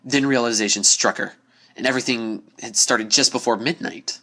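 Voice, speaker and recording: A man's voice: medium pitch, normal speed, bright, forceful tone. A man reading a scripted story aloud as narrator, in a dramatic storytelling style.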